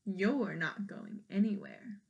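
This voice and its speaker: A woman voicing an imperious, capricious queen in a children's storybook, with a haughty, commanding, drawn-out delivery. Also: mid-range voice, expressive, gravelly, darkish tone, muffled recording. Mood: haughty, threatening.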